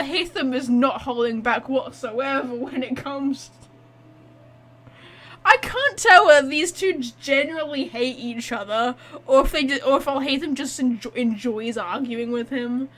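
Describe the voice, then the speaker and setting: A woman giving casual, expressive gameplay commentary as a streamer, teasing and informal. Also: high-pitched, measured pace. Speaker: streamer reacting to a game story.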